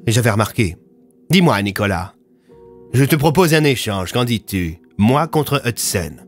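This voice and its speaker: A man's voice: mid-range voice, sing-song, a little slow. A man playing a resolute, self-sacrificing hero in an audio drama, speaking firmly and earnestly. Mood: determined. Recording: music under the voice.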